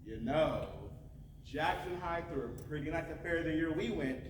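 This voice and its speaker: A young man plays a gentle, charming small-town husband in a stage drama. His pitch moves animatedly in soft, playful talk. The sound is very echoey and distant, with clear noise. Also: animated, normal speed, lightly acted. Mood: fond. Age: teenager or young adult. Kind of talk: high school stage play acting. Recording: echoey noisy stage recording from far off.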